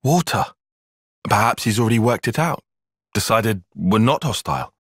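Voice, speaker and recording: A man's voice: lowish voice, expressive, normal speed, a bit bright, no echo. A man playing an analytical, guarded young soldier strategist in a video game, speaking precisely and articulately with a dry, sarcastic edge. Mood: measured, analytical. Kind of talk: video game cutscene voice acting.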